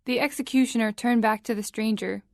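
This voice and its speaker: A woman narrates a dramatic tale in a slightly high voice. Her delivery is measured and suspenseful, recorded close and dry. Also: fairly even intonation, creaky, a little mellow, no room sound. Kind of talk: scripted story narration.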